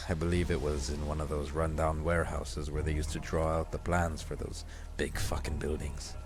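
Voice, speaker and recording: A man's voice: flat delivery, normal speed. A man playing a bitter, hard-drinking teenage bully with a sardonic, menacing manner in an audio drama. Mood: resentful, brooding. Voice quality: deep, gravelly. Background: music.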